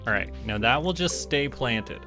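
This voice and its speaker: A man from Canada doing casual gameplay commentary, thinking aloud to himself in short, unhurried remarks. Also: mid-range voice, animated. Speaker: livestreamer playing a farming game. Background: game music.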